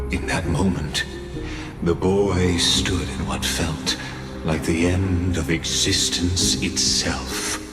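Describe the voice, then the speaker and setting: An actor playing a grave, brooding male narrator who solemnly foretells tragedy in a dramatic game story. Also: low voice. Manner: solemn, ominous, deliberate. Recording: long hall reverb, music behind.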